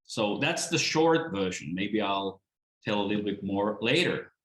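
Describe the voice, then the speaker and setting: A man with a Finnish accent and a fairly low voice gives an informal introduction. He is hesitant, pausing and repeating himself. The room echoes noticeably around him on the call. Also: expressive, a bit bright. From Finland. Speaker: guest speaker, philosopher and writer. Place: indoors, on a video call. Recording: roomy, echoing video call.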